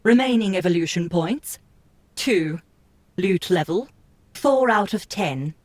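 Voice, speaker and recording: A woman with a somewhat low voice reads game menu text for an audiobook. Her delivery is crisp and list-like. The recording is dry and close. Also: expressive, slow, a little mellow, dry recording. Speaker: co-narrator reading game screens. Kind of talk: scripted audiobook reading.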